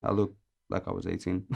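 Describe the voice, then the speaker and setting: A man in his late twenties, originally from Azerbaijan, chatting casually as a podcast guest, laid-back and laughing often, with many pauses and ums. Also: deep voice, expressive, vocal fry, mellow, husky. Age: 20s. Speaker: podcast guest, music producer. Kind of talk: casual interview chat.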